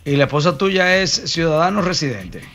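A man's voice: on the high side, animated, moderate pace, a bit bright, soft background music. A man hosting a call-in radio show, chatting casually with callers, joking and swearing freely.

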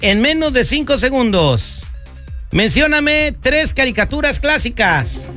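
A man's voice: very high-pitched, very animated, unhurried, pushed and bright, faint music behind. A man hosting a radio call-in quiz show, joking and teasing the callers.

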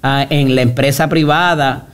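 A man's voice: medium pitch, steady tone, measured pace, clear, resonant tone. A man hosting an interview, asking pointed, probing questions. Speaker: interview host.

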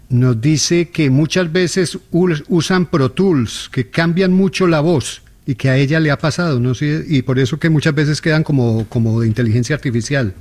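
Chatty man with a higher voice. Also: a little mellow. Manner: relaying listener messages, chatty. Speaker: radio co-host.

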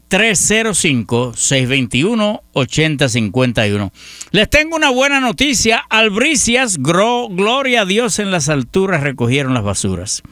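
A man's voice: fairly high pitch, bright, forceful tone, no echo. A middle-aged Puerto Rican man with a Puerto Rican accent hosting a news roundup, chatty and folksy, talking to listeners as friends. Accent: Puerto Rican Spanish. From Puerto Rico. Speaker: radio news host. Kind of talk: news roundup with personal commentary.

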